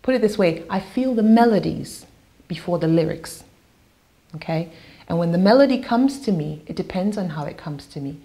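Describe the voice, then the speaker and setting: Soft-spoken woman with a mellow voice. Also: lowish voice, expressive, moderate pace. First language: Yoruba. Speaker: singer-songwriter. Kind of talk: interview talk.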